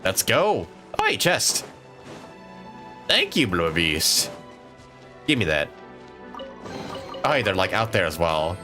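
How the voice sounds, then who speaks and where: Bright, animated male game streamer. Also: sits low, slow pace, pauses often. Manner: swearing, muttering to himself as he plays. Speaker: live streamer. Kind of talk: casual, unscripted gameplay commentary. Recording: game music behind.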